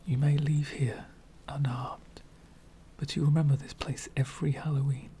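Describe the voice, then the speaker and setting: A man playing a lonely, courteous, centuries-old vampire in an ASMR roleplay, speaking formally and wistfully in soft, breathy whispers. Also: a little high, little pitch movement, slight background noise, natural voice. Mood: gracious, wistful. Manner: formal, wistful, slow and deliberate.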